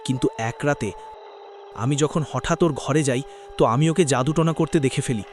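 An earnest young man in a cartoon horror story played by a male actor. His voice is mid-pitched and urgent, explaining things gravely over noticeable noise. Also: close to an everyday voice.

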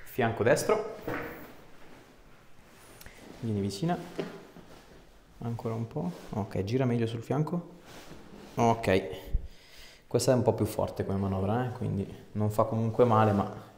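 Low, gravelly man giving treatment instructions. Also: unhurried, long pauses, darkish tone. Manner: short coaxing commands, often repeated, with joking asides. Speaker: chiropractor treating a patient. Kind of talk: hands-on instructions and casual chat with patient. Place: small indoor treatment room. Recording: echoey small room, faint background noise.

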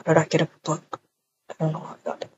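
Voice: mid-range voice, monotone, very fast, slight background noise, natural voice. A performer playing a laid-back, cocky young man in a casual roleplay, speaking in an offhand, teasing way. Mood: low-key. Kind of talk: casual improvised roleplay acting. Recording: dull, lo-fi home microphone.

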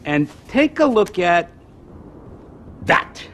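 An actor playing a blunt, theatrical old movie producer in a video game, effusive and grandiose. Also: male voice, sits high, sing-song, drawn-out words, fairly bright tone, a little hiss or hum, exaggerated voice. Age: older. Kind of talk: cinematic video game acting.

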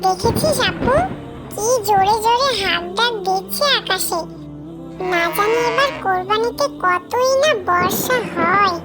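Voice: extremely high pitch, a little slow, over loud music. A cartoon voice actor playing a young boy, an earnest and fretful little brother. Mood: worried. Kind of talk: cartoon voice acting.

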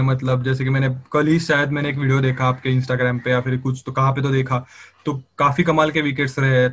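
A man's voice: fairly high pitch, a bit bright. A man with an Indian accent hosting a casual online interview, asking long questions that he rephrases as he goes. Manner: wordy, rephrases questions at length. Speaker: interviewer, channel host. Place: remote call on social media live.

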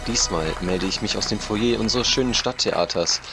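An actor playing an eager local news reporter, delivering a report in an audio drama. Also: male voice, on the low side, normal speed, music in the background, natural voice. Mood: businesslike.

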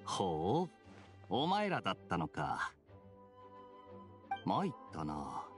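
An actor playing a suave, teasing young knight in an anime game, laid-back and wry. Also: male voice, low voice, huge pitch swings, slow, soft background music, heavily acted. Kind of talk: Japanese anime game acting.

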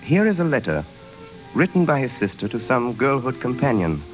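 An actor narrating a classic novel as a grave storyteller in a 1930s radio drama. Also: male voice, medium pitch, very animated, moderate pace, lightly acted. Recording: muffled 1930s radio recording, music behind.

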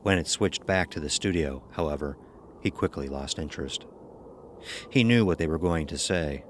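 A man's voice: rumbling low, normal speed, a little hiss or hum. A man giving scripted narration for a horror audio drama.

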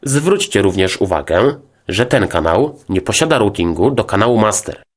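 A man narrating a scripted tutorial as a music software instructor, in a formal, methodical, explanatory way. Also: on the low side, sing-song, normal speed.

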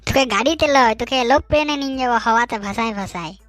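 A man's voice: very high voice, racing, a bit bright, soft background music. A man voicing a bossy, teasing young village man in a comic cartoon, with a rural West Bengal accent. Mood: scheming.

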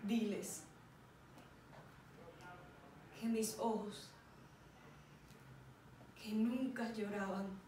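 A woman acting a remorseful, proud military man in a solemn, declamatory stage monologue, with deliberate pauses. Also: mid-range voice, level tone, mellow. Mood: anguished. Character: tragic male general, remorseful, proud, anguished. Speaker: drama student performing an exam monologue. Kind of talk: dramatic theatrical monologue. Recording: roomy, distant mic with faint noise.

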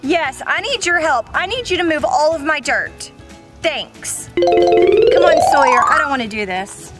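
American woman, thirties, exaggerated complaining delivery for a kids' skit, high voice, unhurried. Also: expressive. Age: 30s. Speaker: parent acting in a family kids' show. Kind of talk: playful acted skit for children. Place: outdoors by a house. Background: prominent music.

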